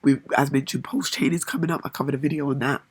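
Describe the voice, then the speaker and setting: A woman with a hoarse voice, chatting enthusiastically to camera in a casual vlog, with lots of fillers and repeated words. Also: very deep voice, fairly even intonation, fast talker, dry recording. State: hoarse, lost voice. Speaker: crypto vlogger.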